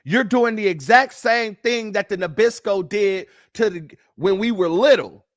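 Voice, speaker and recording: A man's voice: sits high, fairly bright tone, no room sound, clean studio sound. A man hosting a livestream, delivering fiery, emphatic and profane preaching-style commentary and thanking donors by name. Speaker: livestream host.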